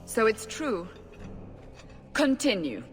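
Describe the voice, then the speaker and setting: A woman's voice: on the high side, very animated, slow. A woman playing a stern, commanding, skeptical interrogator in a fantasy game. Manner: commanding, incredulous. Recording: long hall reverb, faint music.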